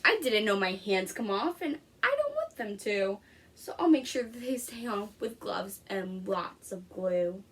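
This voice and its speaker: A woman's voice: medium pitch, unhurried, slight background noise. A woman reading a storybook expressively as an anxious, literal-minded little boy. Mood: alarmed. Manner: expressive storybook read-aloud.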